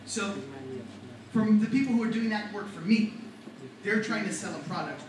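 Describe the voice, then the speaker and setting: Middle-aged guy teaching a class, high-ish voice, super echoey room, mic way off. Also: normal speed. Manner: animated, talks to the class with questions. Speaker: writing and rhetoric teacher. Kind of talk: classroom lecture. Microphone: far from the mic. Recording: echoey classroom from far off, noisy.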